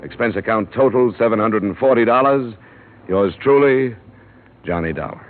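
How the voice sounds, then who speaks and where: An actor narrating as a world-weary insurance investigator in a 1950s radio drama, dry and matter-of-fact. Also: male voice, lowish voice, moderate pace, natural voice. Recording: tinny old radio broadcast with music.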